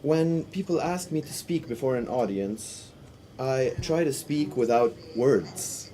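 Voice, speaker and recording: A man interpreting a panel discussion live, in halting phrases with restarts and sudden bursts of speed. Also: mid-range voice, lively intonation, dark tone. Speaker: simultaneous interpreter.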